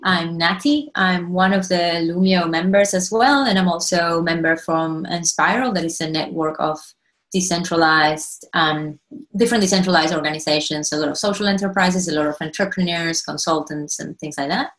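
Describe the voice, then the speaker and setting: A woman in her thirties with an Argentine accent, giving a relaxed, thoughtful presentation over a video call. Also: lowish voice, moderate pace. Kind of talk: casual online presentation.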